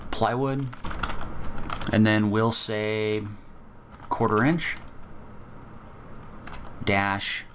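Man, very low voice, methodical tutorial explainer, faint typing behind. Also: fairly bright tone, slight background noise. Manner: methodical step-by-step explaining, casual fillers. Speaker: software tutorial instructor. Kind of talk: screen-recorded software walkthrough.

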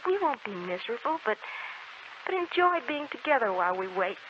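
An actress in a 1940s American radio drama playing a frail, gentle dying young woman, speaking haltingly and wistfully with sweet resignation. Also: fairly high pitch, very animated. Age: young adult. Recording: muffled, very noisy 1940s radio recording.

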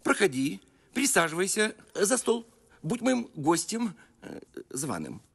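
Raspy, sly cartoon prince. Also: male voice, high voice, wildly swooping pitch, unhurried, a bit bright, exaggerated voice. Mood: mock-gracious. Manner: theatrical, mock-gracious. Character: sly, pompous, greedy prince of Kiev, grown man. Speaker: voice actor. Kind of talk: animated film acting.

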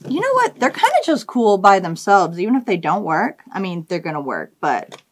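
A woman in her thirties hosts a hobby vlog in a casual, enthusiastic way. Her voice sits at a middle pitch and she talks informally, as if to friends. There is faint noise behind her in a small room. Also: huge pitch swings, normal speed. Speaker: hobby video host. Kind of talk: casual vlog chat to camera.

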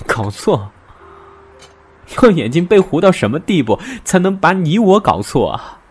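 A man's voice: fairly high pitch, big pitch swings, a little slow, husky, full character voice. Actor as bitter, wounded young man, music behind. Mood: bitter, sarcastic. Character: hurt, bitter young man, betrayed in love. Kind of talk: audio drama acting.

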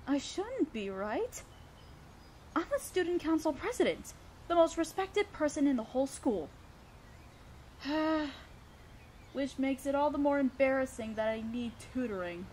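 A woman's voice: sits high, a little hiss or hum. A woman playing an awkward, flustered young student council president in a romantic audio roleplay, with a hesitant, earnest delivery. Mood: nervous, wistful.

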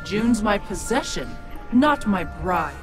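A cruel witch demon for a video game, haughty and taunting. The delivery is slow, with dramatic swoops in pitch, over prominent music. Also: lively intonation. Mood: menacing. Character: cruel, gloating evil witch demon possessing a woman. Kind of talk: video game character acting.

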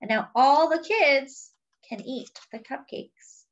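A woman reading a picture book aloud to young children in a deliberate, teacherly way, adding simple explanations as she goes. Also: high voice, muffled recording. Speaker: children's read-aloud storyteller.

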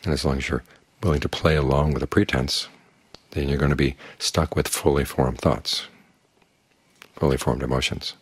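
An older man teaching as a Buddhist monk, his voice very low and strongly husky. He speaks calmly and plainly, and the recording is close and dry. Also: normal speed, dry recording. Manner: calm, plain-spoken, uses homely images. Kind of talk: evening dharma talk.

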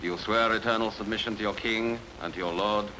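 A man playing a solemn high priest, declaiming formally and ceremonially in a film dub. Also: steady tone, fast, pushed and bright, a little hiss or hum, rough recording.

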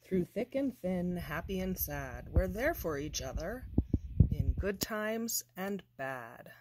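Slow, very lively woman reading a storybook. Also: lowish voice, noisy background. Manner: deliberate, expressive, measured phrasing for children. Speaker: storybook reader. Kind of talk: children's picture book read-aloud.

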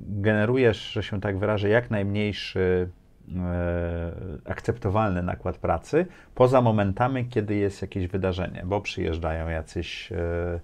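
Low-voiced man hosting an interview. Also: a little mellow. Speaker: interview host. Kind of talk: conversational interviewing. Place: small furnished studio, indoors.

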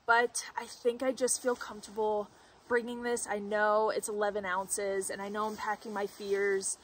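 A young woman talks through her hiking gear in a relaxed, chatty way, dropping "like" and "um" as she goes. She sits outside in a forest clearing, recorded from a camera some distance away. A faint hiss of outdoor noise runs under her voice. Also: mid-range voice. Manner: chatty, with frequent "like" and "um" fillers. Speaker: hiker and vlogger. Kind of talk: casual gear walkthrough.

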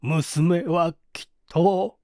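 A man voicing a penitent old man in his sixties, halting and solemn, with old-fashioned formal speech, in an audiobook drama. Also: a little high, steady tone, a little mellow, no echo, studio quality. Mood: resigned, resolute. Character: anguished, penitent old man over sixty, doting father.

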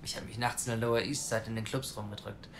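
A man's voice: lowish voice, steady tone, fast talker, a little hiss or hum, close to an everyday voice. An actor as a cocky, provocative young man. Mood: smug, storytelling. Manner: cocky, defiant, coarse-mouthed. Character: brash, provocative young man pleading to adopt a child. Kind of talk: naturalistic German audio drama acting.

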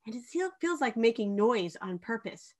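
A woman's voice: fairly high pitch, sing-song, moderate pace. A middle-aged woman, a children's author, speaking to camera in a friendly introduction with a trivia question. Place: indoor room with bookshelves.

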